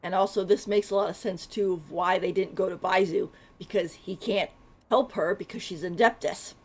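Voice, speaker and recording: A woman's voice: lowish voice, steady tone, normal speed, darkish tone. A woman streamer giving casual live commentary over a video game.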